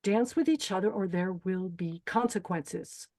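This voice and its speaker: A woman's voice: medium pitch, measured pace, a little mellow, close to an everyday voice. A woman playing a menacing, deranged pageant host who bosses and threatens contestants in a screenplay table read. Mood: bossy, sardonic. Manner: bossy, threatening.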